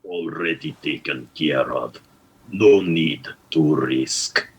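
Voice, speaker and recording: A man playing a cold, tight-lipped henchman with a gravelly, raspy voice and terse delivery in a comedic radio play. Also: extremely low pitch, slow pace, muffled recording, full character voice. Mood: cold, guarded. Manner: terse, clipped phrases, stonewalling.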